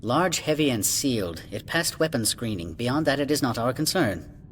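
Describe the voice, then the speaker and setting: An actor playing an impatient corporate administrator in a video game, pompous, curt and dismissive. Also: male voice, mid-range voice, animated, moderate pace, a bit bright, close to an everyday voice. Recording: background ambient noise.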